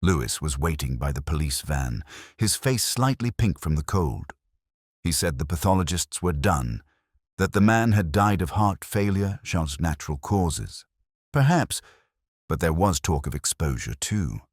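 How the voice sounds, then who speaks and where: Casting: male actor as brooding detective narrator, extremely low husky voice, literary and reflective, close dry sound. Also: lively intonation, unhurried, no room sound, exaggerated voice. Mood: brooding, melancholy. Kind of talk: scripted first-person detective narration, audio drama.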